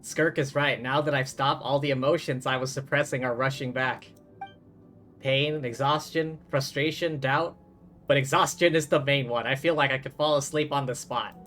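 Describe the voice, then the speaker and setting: A man's voice: medium pitch, fairly even intonation, normal speed, a bit bright, faint music behind. A man streaming a video game live, commenting and reading dialogue aloud.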